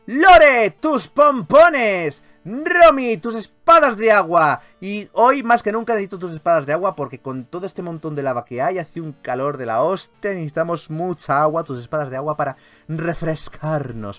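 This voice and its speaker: A man from Spain hosts a gameplay video in a fairly high, very lively voice. His commentary is theatrical and foul-mouthed, with phrases often repeated. Faint game music plays behind him.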